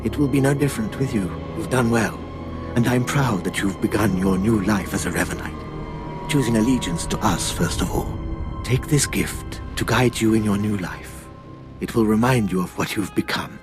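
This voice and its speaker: A man's voice: lowish voice, over music, close to an everyday voice. A man as a solemn old cult elder. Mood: reverent, approving. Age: older. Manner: grave, ceremonial, deliberate. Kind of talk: scripted video game dialogue.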